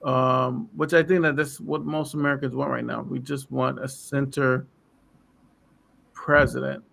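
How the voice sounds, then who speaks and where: A man in casual political chat on a podcast, losing his voice and clearing his throat as he talks in a rambling way. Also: mid-range voice, flat delivery, measured pace, a bit bright, a little hiss or hum. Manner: rambling, lots of fillers. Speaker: podcast co-host.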